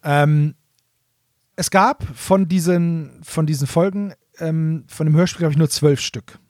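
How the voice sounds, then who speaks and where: A man co-hosting a podcast, chatting casually and explaining things in an explanatory way, rephrasing to make points clear. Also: on the high side, a little slow, darkish tone, no room sound, studio quality. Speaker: podcast co-host. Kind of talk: casual nostalgic chat about audio dramas.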